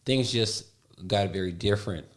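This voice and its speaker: A man hosting a casual podcast interview, opening brightly and prompting his guest with quick questions and frequent restarts. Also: fairly low pitch. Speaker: podcast host.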